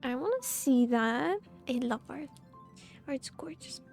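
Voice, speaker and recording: Woman streamer, high pitch, slow casual chat, giggly, meandering, faint game music behind. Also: animated, gravelly, darkish tone. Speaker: live streamer.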